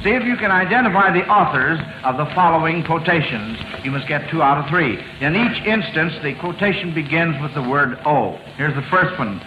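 A man's voice: on the high side, expressive, a little fast, hardly any pauses. A man hosting an old radio quiz show, chatty and folksy as he reads questions and repeats answers. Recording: noisy, muffled vintage radio broadcast.

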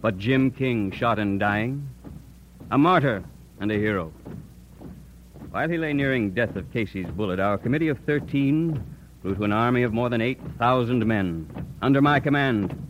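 A man's voice: medium pitch, normal speed, dull audio, lightly acted. Man playing a declamatory vigilante leader, noisy recording. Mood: solemn. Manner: declamatory, solemn. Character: stern, righteous vigilante commander, oratorical narrator. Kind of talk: old-time radio drama narration and oratory. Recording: noisy old radio recording.

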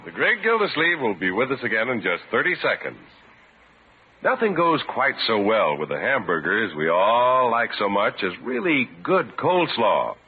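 A man's voice: medium pitch, sing-song, moderate pace, noisy background. An American man announcing in a polished, emphatic 1950s radio sponsor style. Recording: muffled old radio broadcast, music behind.

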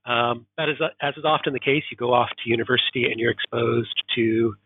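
A man's voice: mid-range voice, steady tone, brisk, husky, no echo. An American man from Oregon, a professor, answering interview questions thoughtfully with many fillers. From Oregon, United States. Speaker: guest professor. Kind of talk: interview guest. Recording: tinny phone-like remote line.

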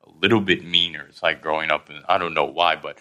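A young man from Thailand, a podcast guest chatting casually and telling lively stories with acted-out quotes. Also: a bass voice, fast talker. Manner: animated storyteller, acts out quoted dialogue. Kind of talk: casual chat.

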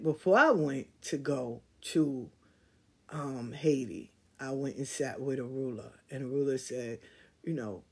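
Husky woman telling a candid story. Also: low-pitched. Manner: candid, storytelling, swings from loud emphasis to quiet. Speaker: podcast host. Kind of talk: casual monologue.

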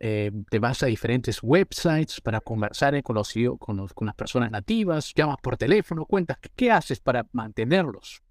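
A man's voice: mid-range voice, animated, normal speed, hardly any pauses, no echo, studio quality. A man hosting an interview, affirming his guest and asking friendly questions. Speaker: interviewer host. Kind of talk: casual interview.

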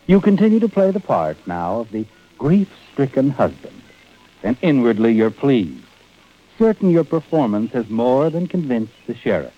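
An actor playing a sinister, knowing American narrator in a radio suspense drama, sardonic and insinuating, on a muffled old recording. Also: male voice, mid-range voice, big pitch swings, moderate pace, faint music behind.

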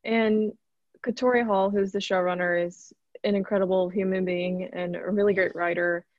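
A woman's voice: mid-range voice, steady tone, normal speed, no room sound. Woman guest, light Arkansas accent, upbeat chat, slightly muffled dry line. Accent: light Arkansas Southern. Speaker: actor, guest. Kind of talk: casual interview chat.

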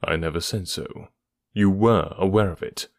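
A man reading an audiobook, voicing a calm, deliberate male detective. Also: low-pitched, no room sound, studio quality.

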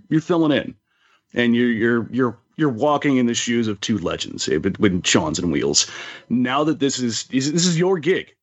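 A man hosting a podcast in a casual chat, with a halting way of repeating phrases as he builds questions. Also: medium pitch. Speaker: podcast host. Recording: slightly dull lo-fi audio.

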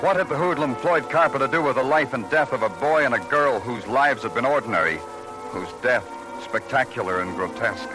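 An actor playing a deadpan, terse police detective who narrates his case and questions people in an old noir radio drama. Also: medium pitch, brisk, music in the background, natural voice, rough recording. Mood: wry, brooding.

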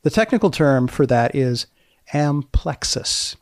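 A man hosting and narrating scripted science material in a measured way, pausing to explain terms. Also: fairly high pitch, lively intonation, no echo, clean studio sound. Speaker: host and presenter.

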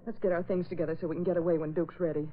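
A woman's voice: lowish voice, level tone, rapid-fire, natural voice. A woman playing a scheming, pleading blonde gun moll in 1940s radio melodrama style. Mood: pleading, firm. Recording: muffled old radio with faint noise.

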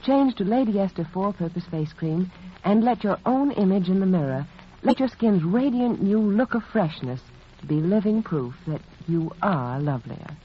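Animated woman hostess, vintage radio advert. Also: medium pitch, moderate pace. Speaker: hostess and sponsor spokeswoman. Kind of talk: scripted 1940s radio advertisement. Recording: muffled old radio recording, faint hiss.

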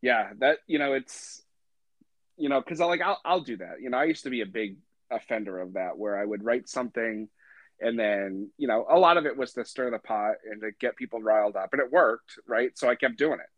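A man's voice: medium pitch, fairly quick, fairly bright tone, no echo, dull audio. A man hosting a podcast in a laid-back, casual way, swearing freely and saying "you know" a lot. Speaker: podcast host.

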